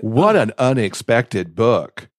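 A man's voice: medium pitch, sing-song, slow, no echo, studio quality. A man hosting a podcast, talking casually and enthusiastically about books. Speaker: podcast host. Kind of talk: casual book chat on a podcast.

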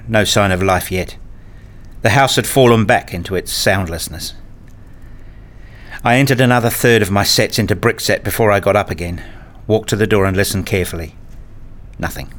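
A man with a fairly low voice narrates a radio drama in the first person. His delivery is measured and understated, with a literary feel. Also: a bit bright. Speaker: narrator and lead character, a father.

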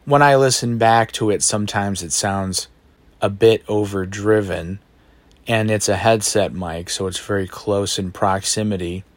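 A man with a baritone voice hosting a solo podcast, thinking aloud in a reflective, self-deprecating way, recorded on a phone in a bedroom at home. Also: unhurried, no echo. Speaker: podcast host. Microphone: phone microphone.

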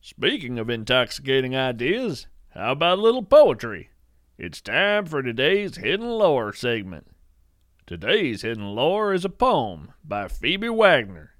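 A man playing a folksy, earnest naturalist who hosts an audio fiction show, narrating in a measured, lyrical way. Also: mid-range voice, very animated, fairly bright tone, dry recording, clean studio sound. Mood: cheerful. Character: folksy, wonder-struck male naturalist hosting a show about cryptids. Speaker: podcast host.

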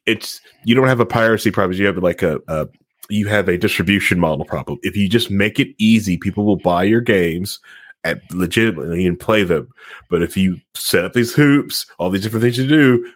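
A man with a fairly low, slightly rough voice talks casually as a gaming podcast co-host. He is emphatic and opinionated, often doubling back on a phrase to drive it home. The sound is close and dry, with no room around him. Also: no echo.

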